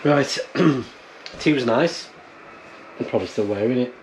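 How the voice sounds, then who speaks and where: A man with a British accent chatting casually to his vlog in an unhurried, rambling, understated way. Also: mid-range voice, low-quality recording. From United Kingdom. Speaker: amateur radio hobbyist and vlogger. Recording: clear steady background noise.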